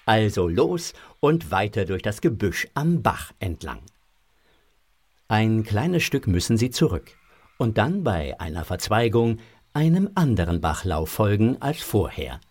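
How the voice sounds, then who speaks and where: A man with a fairly low voice tells a children's story from a script. He goes slowly and deliberately, with lively rises and falls that make the narration expressive.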